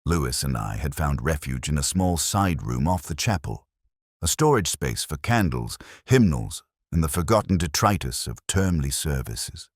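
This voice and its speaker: A man narrating a scripted first-person detective story in a measured, brooding, literary manner. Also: very deep voice, big pitch swings, no echo, clean studio sound.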